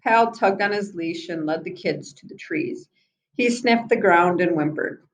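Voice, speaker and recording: A woman reading a children's book aloud in an expressive storyteller's manner, with small asides to her listeners. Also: lowish voice, a little slow. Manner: expressive, acts out the children's dialogue.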